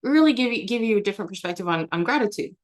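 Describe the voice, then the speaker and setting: A woman's voice: medium pitch, animated, racing. Chatty woman with many fillers. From Germany. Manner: chatty, frequent fillers and restarts, laughs often. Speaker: interview guest. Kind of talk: casual interview answers.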